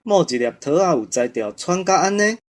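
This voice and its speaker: An actor playing a kindly old village grandpa, easily startled, in a Taiwanese audio drama. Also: male voice, high-pitched, expressive, brisk. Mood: puzzled.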